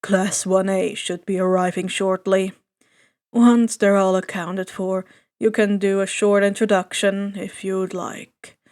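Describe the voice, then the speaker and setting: A woman voicing a tired, laid-back male hero teacher in an audio story, with a deep, slow, professional delivery. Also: level tone, darkish tone, lightly acted. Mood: businesslike.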